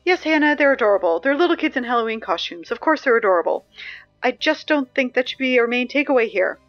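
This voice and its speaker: A woman plays a chatty young announcer whose patter keeps tipping into fluster. Her voice sits fairly high. The recording is slightly dull, with faint music under it. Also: lively intonation, fast, natural voice. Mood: anxious. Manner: chatty, growing flustered and panicky. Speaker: school announcer. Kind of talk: audio drama acting.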